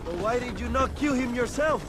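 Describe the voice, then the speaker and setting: Video game role: grandiose Mexican revolutionary chief, very high-pitched, chummy flatterer, Mexican accent, over noisy wagon ambience. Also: male voice, brisk. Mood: proud, triumphant. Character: swaggering, scheming Mexican rebel leader, flattering and theatrical. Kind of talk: dramatic video game acting.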